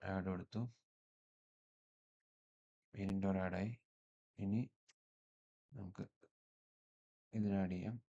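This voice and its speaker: A man's voice: low-pitched, level tone, takes it slowly, vocal fry, long pauses, warm tone, muffled recording. A man with a Malayali accent giving an unscripted, matter-of-fact technical walkthrough, one step at a time. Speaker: tutorial presenter, installer.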